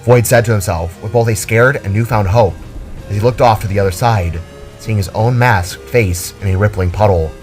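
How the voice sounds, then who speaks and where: A man's voice: on the low side, moderate pace, faint music behind. A man reading a scripted fantasy story aloud as a dramatic narrator.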